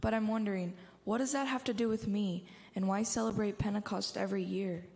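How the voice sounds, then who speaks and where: A woman's voice: mid-range voice, steady tone, fairly quick, vocal fry, darkish tone, close to an everyday voice. A female performer playing a curious, no-nonsense girl with a questioning, mildly bossy manner in a church reader's theater. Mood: curious, firm. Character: curious, no-nonsense girl trying to follow the story. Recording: reverberant hall.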